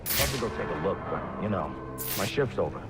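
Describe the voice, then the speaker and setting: A man's voice: low-pitched, very animated, a little fast, over loud music. A man playing a disgruntled, corrupt working cop in a video game, slangy and grumbling.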